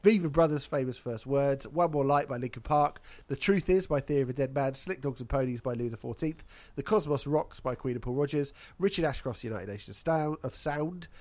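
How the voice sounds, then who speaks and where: A man's voice: mid-range voice, fairly quick, hardly any pauses, darkish tone. A man hosting a casual podcast, congested from hay fever, wry and chatty. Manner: wry, chatty, laughs at own jokes. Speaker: podcast host.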